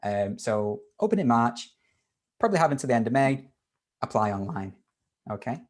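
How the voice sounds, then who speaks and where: A man's voice: medium pitch, big pitch swings, moderate pace. A man hosting a university webinar Q&A on a video call, upbeat and informal with lots of fillers and restarts. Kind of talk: live webinar Q&A.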